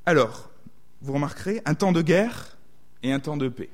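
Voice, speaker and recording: A man's voice: fairly high pitch, lively intonation, normal speed. A man with a southwestern French accent preaching a sermon, thoughtful and often hesitating to reword his thoughts.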